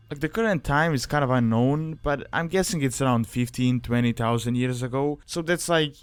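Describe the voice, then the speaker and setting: A man's voice: medium pitch, clean tone, dry recording. A man giving a casual explanatory voice-over as a guide narrator, in an informal, rambling way.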